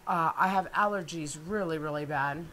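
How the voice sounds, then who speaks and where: A middle-aged woman with a stuffed-up, nasal voice, chatting excitedly through a cooking demonstration in her home kitchen. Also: deep voice, moderate pace, a little hiss or hum. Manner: chatty and excitable, with many ums and asides.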